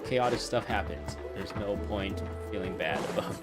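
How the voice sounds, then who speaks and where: A man streams a game in a low-pitched voice. His commentary is casual and rambling with chuckles, and music plays clearly behind him. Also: normal speed. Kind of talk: casual live gameplay commentary.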